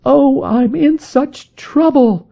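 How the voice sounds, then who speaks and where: A man voicing a distraught, timid young woman who has come for help, pleading in a high, sobbing voice. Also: takes it slowly, vocal fry, warm tone, muffled recording, exaggerated voice.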